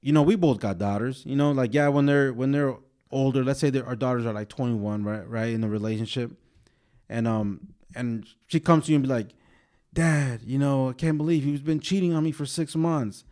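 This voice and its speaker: Laid-back male podcast co-host, a bit hoarse. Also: medium pitch, moderate pace, a little mellow. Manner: laid-back, rambling, drops casual swearing. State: slightly hoarse from a sore throat. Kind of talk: casual chat.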